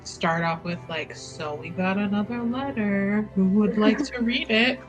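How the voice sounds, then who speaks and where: A woman's voice: mid-range voice, measured pace, faint music behind, rough recording. A woman playing a tabletop game, chatty and laughing often. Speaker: player. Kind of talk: casual tabletop play.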